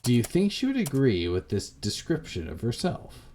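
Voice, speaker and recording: A man voicing a calm, pragmatic advisor on a phone call in a visual novel, measured and matter-of-fact. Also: medium pitch, slight background noise. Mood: measured, reassuring.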